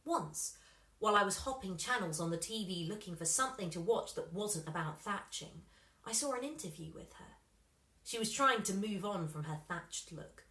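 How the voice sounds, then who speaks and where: A woman reading a children's story expressively, with dramatic pauses and emphasis. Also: medium pitch, expressive, a bit bright. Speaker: story reader. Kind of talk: scripted children's story narration. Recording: roomy, echoing room sound.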